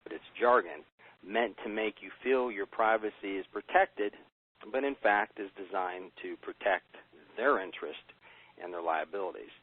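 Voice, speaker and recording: An American man gives an emphatic business pitch on a conference call, asking himself rhetorical questions and answering them. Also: normal speed. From United States. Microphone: telephone. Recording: tinny phone line.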